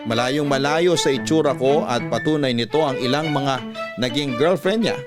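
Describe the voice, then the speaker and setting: A man's voice: medium pitch, normal speed, lightly acted. A man with a Filipino accent reading a scripted story as a dramatic radio storyteller. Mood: reflective. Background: sentimental instrumental music.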